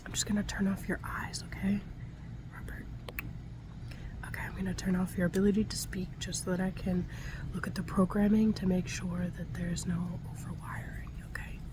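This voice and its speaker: A woman whispering breathily in a soft, soothing, halting way as she improvises an ASMR roleplay as a gentle scientist. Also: lowish voice, flat delivery. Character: gentle scientist tending a robot. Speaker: ASMR performer.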